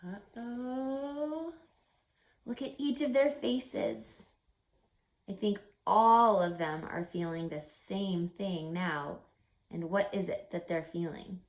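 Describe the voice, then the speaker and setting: A woman reading a picture book aloud like a teacher, deliberate and instructive, with dramatic pauses and questions for young listeners. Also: mid-range voice, fairly even intonation.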